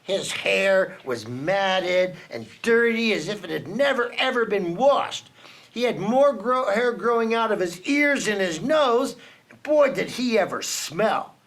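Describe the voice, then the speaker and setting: A man reads a children's storybook aloud at an unhurried pace, his fairly high voice swinging widely as he builds suspense. He leans into dramatic pauses like a storyteller for young listeners. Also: expressive, a bit bright. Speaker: children's book reader for a team reading program. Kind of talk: reading a children's picture book aloud.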